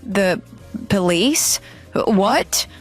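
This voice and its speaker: An actress playing a deceitful runaway bride in an audio drama, pleading, flustered and defensive. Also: female voice, medium pitch, lively intonation, very slow, husky, faint music behind, heavily acted. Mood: panicked. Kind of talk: dramatized phone dialogue.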